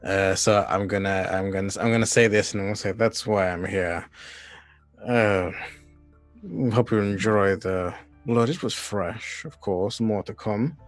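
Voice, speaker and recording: A man's voice: fairly low pitch, fairly even intonation, a little slow, soft background music. A man playing a tabletop game, hesitant and full of fillers. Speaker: player. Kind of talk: tabletop roleplay over a call.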